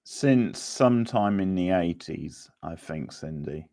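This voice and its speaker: A man's voice: low voice, expressive, takes it slowly, dark tone. A man hosting a quiz in a casual, laid-back, chatty manner. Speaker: quiz host. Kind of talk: casual live quiz chat.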